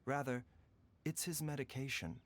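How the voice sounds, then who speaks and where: A man playing a calm, grave, gentle fantasy game doctor, speaking in a measured, formal and precise manner. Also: mid-range voice, slight background noise, natural voice. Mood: grave explanation. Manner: composed, precise, formal diction. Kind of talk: measured video game dialogue acting.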